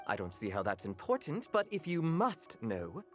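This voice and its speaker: A woman's voice: low voice, huge pitch swings, normal speed. A woman voicing a haughty talking butler cat in a video game, formal, pompous and precise. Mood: dismissive. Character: haughty elder butler cat, an alchemical being. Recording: music under the voice.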